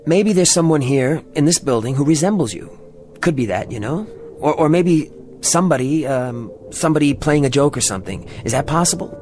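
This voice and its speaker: A man's voice: fairly high pitch, lively intonation, brisk, darkish tone, slight background noise, natural voice. An actor playing a kindly, patient man traveler in an audio drama, speaking in a natural, tentative, soothing way. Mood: reassuring. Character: kindly, reassuring male fellow traveler, puzzled but patient.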